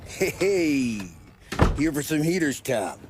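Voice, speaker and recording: An actor playing a chatty, folksy underworld gun supplier with a rambling, informal manner in game acting. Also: male voice, fairly high pitch, lively intonation, slow pace. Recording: loud clattering background noise.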